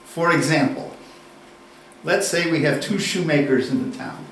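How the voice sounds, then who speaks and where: A man giving a university lecture, deliberate and unhurried, posing questions and then answering them. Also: fairly high pitch, fairly bright tone, a little hiss or hum. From United States. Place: lecture room. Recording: echoey room, distant mic, faint ambience.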